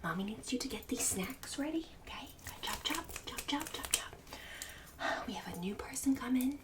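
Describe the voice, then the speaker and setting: A woman's voice: on the high side, expressive, normal speed, voice pushed up, natural voice. Casting: woman as bragging, bossy suburban mother, fake-sweet and snide, ASMR half-whisper, distant noisy recording. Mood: bossy, self-satisfied. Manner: condescending, fake-sweet, snide, passive-aggressive. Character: Karen, pushy, boastful, condescending suburban mother hosting book club.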